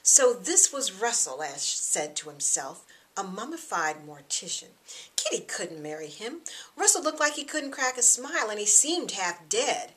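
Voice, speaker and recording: A middle-aged woman reading her own novel aloud in expressive scripted narration. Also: mid-range voice, measured pace.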